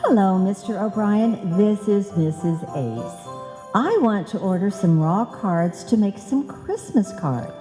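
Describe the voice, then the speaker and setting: A woman's voice: medium pitch, expressive, measured pace, over music, close to an everyday voice. An actress playing a scatterbrained, bossy married woman full of muddled logic and malapropisms in a live old-time radio comedy. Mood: brisk, exasperated. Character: scatterbrained, bossy married woman hatching a money-making scheme. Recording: live hall recording, long reverb.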